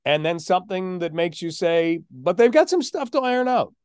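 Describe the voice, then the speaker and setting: A man's voice: high-pitched, sing-song, moderate pace, a little mellow, clean tone, no echo, clean studio sound. A man hosting a sports talk podcast, analysing casually and emphatically, often asking and answering his own questions. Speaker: sports podcast host and play-by-play announcer.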